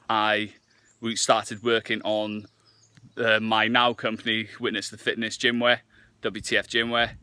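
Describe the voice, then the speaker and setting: A man's voice: fairly low pitch, sharp tone, clean tone. A Welsh man in his twenties, a native Welsh speaker, chatting casually to camera on a walk with frequent hesitations. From Wales. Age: 20s. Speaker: fitness vlogger and nutritionist. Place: outdoors on a morning walk.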